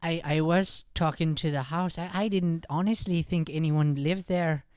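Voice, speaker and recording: A man's voice: on the high side, steady tone, moderate pace, darkish tone, clear, resonant tone, dry recording, clean studio sound, natural voice. A man playing a nervous, stammering Irish schoolboy who makes excuses, in an improvised radio comedy, with an Irish accent. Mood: nervous pleading. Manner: stammering, defensive. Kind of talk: improvised old-time radio comedy play.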